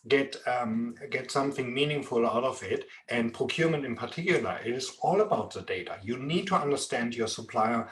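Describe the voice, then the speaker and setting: A man's voice: mid-range voice, lively intonation, a bit bright. German-accented man, roomy sound. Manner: measured, explanatory, frequent ums. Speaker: interviewee, procurement expert. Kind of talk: expert interview. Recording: roomy echo.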